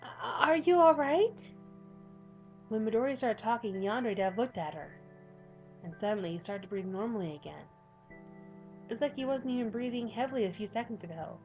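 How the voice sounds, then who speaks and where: Rambly giggly girl gamer reading a visual novel out loud, muffled home mic, soft music behind. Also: medium pitch, lively intonation, brisk. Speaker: gaming youtuber.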